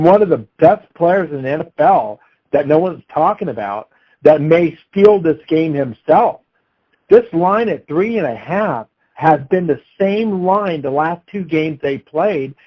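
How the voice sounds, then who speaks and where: Lively higher-voiced male sports betting analyst. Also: muffled recording. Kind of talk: unscripted sports talk and analysis.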